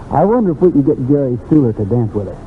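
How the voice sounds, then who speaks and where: An actor playing an easygoing, teasing American cowboy friend in an old radio drama. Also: male voice, mid-range voice, very animated, quick pace, lightly acted. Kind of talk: 1940s radio acting. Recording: narrow, noisy old radio recording.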